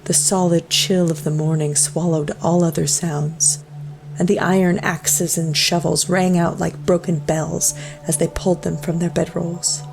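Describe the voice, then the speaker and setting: A woman reading a dark folk-horror story as scripted narration, in a solemn, measured storytelling manner. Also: fairly low pitch, level tone, creaky, music in the background, poor audio.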